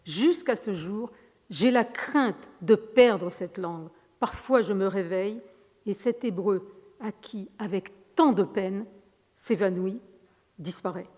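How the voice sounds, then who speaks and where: A middle-aged woman giving an academic lecture, reading from notes in a measured, slightly hesitant way. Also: medium pitch, darkish tone. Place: large lecture hall. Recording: reverberant lecture hall.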